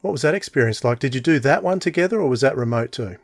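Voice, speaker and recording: A man's voice: mid-range voice, lively intonation, fast, no echo, studio quality. A man hosting a casual interview, chatting and putting questions to a guest. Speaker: interview podcast host.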